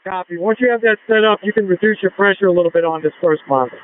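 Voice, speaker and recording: A man with a high voice gives terse fireground orders over a tinny two-way radio. His delivery is clipped and directive, with faint noise on the transmission. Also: fast talker. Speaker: fire officer on tactical radio.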